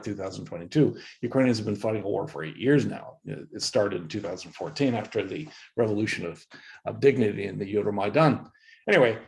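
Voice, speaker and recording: A middle-aged man from Canada, a professor speaking as a podcast guest, explaining history with earnest emphasis and frequent restarts. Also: lowish voice. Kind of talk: interview answers.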